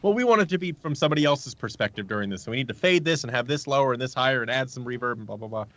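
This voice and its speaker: A man's voice: mid-range voice, animated, very fast, a bit bright, dry recording, highs cut off. A man with a mid-range voice talks informally as a guest on an interview. He explains his production work like a storyteller, acting out what people said. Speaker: audio drama producer and sound designer, interview guest. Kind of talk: casual chat.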